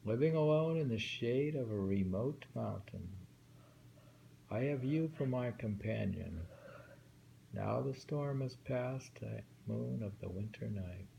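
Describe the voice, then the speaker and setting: A man reading short poems aloud twice each and musing over their meaning in a slow, thoughtful way. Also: medium pitch, gravelly, muffled recording, slight background noise. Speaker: poetry reader.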